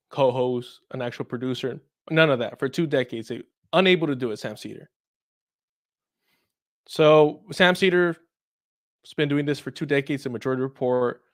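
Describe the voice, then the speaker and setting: Casting: male talk host, fairly high voice, irreverent and sweary, given to chuckles during casual political commentary.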